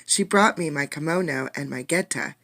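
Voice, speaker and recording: A woman's voice: low voice, moderate pace, a bit bright, dry recording. A woman voicing an earnest young first-grade girl in a storybook, telling things simply.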